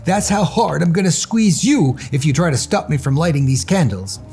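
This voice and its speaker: A man's voice: fairly high pitch, lively intonation, moderate pace, darkish tone, soft background music, pitched above the performer's own voice. A man voicing a clever, confident, teasing storybook hero with an unruffled, mocking manner. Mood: bold, taunting.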